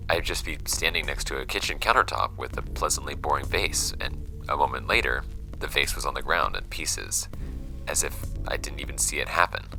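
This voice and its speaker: An actor playing a brooding archivist who records notes on strange case files in a horror audio drama, measured and hesitant, with pauses mid-sentence. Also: male voice, rumbling low, creaky, pushed and bright, heavily acted, low-quality recording.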